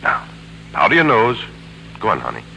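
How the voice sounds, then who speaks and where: A man's voice: fairly low pitch, wildly swooping pitch, moderate pace, vocal fry, pushed and bright, slight background noise, exaggerated voice, poor audio. A male actor playing a self-assured, cajoling married lawyer in 1940s American radio drama, glib and commanding. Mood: stern, then placating. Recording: vintage 1940s radio broadcast with music.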